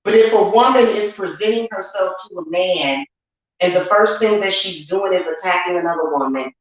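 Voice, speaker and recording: An American woman speaking off the cuff to her viewers in a candid, emphatic, colloquial way, repeating her points. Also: mid-range voice. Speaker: online witch and spiritual reader. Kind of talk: unscripted vlog monologue to viewers. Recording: very echoey, reverberant room.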